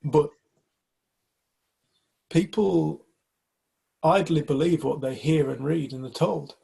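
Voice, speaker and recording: A man's voice: fairly high pitch, takes it slowly, vocal fry, warm tone, breathy edge. A man from the UK in a casual group discussion, talking reflectively and hesitantly, often restarting his sentences. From United Kingdom. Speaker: community group member.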